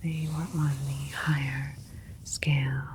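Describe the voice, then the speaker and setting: A woman playing a calm, clinical alien scientist in a sci-fi ASMR roleplay, speaking in a soft, breathy whisper with a gentle, methodical manner. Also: a bass voice, slow pace, slight background noise. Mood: calm, explanatory. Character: calm, clinical alien scientist doctor examining their creation.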